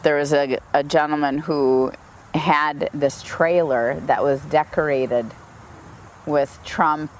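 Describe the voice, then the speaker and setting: Frank, low-voiced woman from the U.S. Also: takes it slowly, a little hiss or hum. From United States. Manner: frank, animated storyteller. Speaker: interviewee. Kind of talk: interview, personal account.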